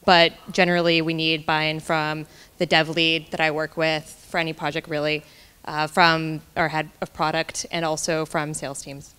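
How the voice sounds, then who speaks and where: Hall reverb, woman panelist, smooth resonant voice, explanatory talk. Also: deep voice, little pitch movement, normal speed, a bit bright. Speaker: product manager on a panel.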